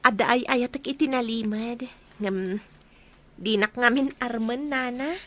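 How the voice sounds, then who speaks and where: Radio drama ingenue female actor, young, sweet and respectful, high-pitched voice. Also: unhurried, pushed and bright. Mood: shy, grateful.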